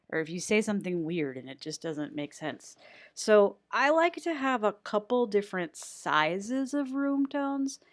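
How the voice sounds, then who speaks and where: A woman giving a casual tutorial in a chatty, playfully self-deprecating manner, recorded close in a quiet room. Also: medium pitch, no echo. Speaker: voice actor giving an audio editing tutorial.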